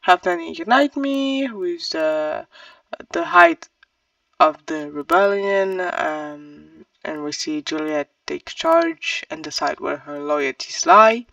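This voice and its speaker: A woman's voice: on the low side, very animated, slow, vocal fry, a bit bright, no echo, far from the mic, highs cut off. A woman giving a casual, opinionated book review in an informal, emphatic way.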